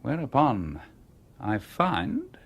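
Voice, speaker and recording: Urbane stranger, wildly swooping pitch. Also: male voice, huge pitch swings, very slow, breathy edge, exaggerated voice. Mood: probing, unhurried. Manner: urbane, interrogating, wry. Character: cool, probing male stranger, an engineer, coolly in control. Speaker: actor in a radio play. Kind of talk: radio mystery drama acting.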